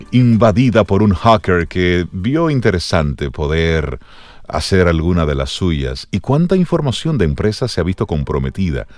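Male radio host, very lively intonation, low register, faint music underneath. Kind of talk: broadcast interview introduction.

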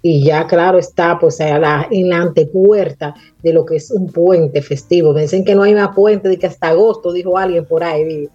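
Husky-voiced woman on a dull phone line. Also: fairly low pitch, fairly even intonation, normal speed, hardly any pauses, mellow, no echo. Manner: fluent explanatory, many "pues" fillers. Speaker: tourism marketing expert, guest. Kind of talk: phone-in radio interview.

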